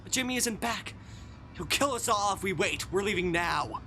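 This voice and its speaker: A man voicing a panicked, commanding crewman in a visual novel, urgently shouting orders. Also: high voice, animated, normal speed, faint music behind, pitched above the performer's own voice, full character voice.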